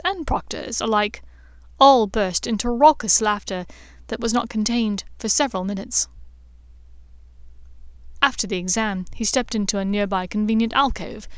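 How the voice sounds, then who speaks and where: A woman's voice: mid-range voice, moderate pace, a bit bright, no room sound, clean studio sound. A woman reading scripted fiction as an audiobook narrator. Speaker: author and narrator of a fan fiction podcast.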